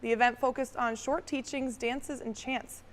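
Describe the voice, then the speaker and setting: A young woman co-anchoring a student newscast, reading the news in a measured, formal way. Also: on the high side, steady tone, long reverb tail. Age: young adult.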